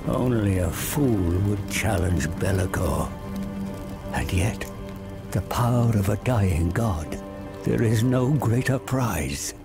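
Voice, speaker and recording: Old scheming scholar, grave game-cinematic delivery, slow with dramatic swings over music. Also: male voice, on the low side, lively intonation, vocal fry, heavily acted. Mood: brooding, scheming. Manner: grave, theatrical, deliberate. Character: weary, scheming old scholar cursed to serve a tome. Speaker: narrator and advisor character.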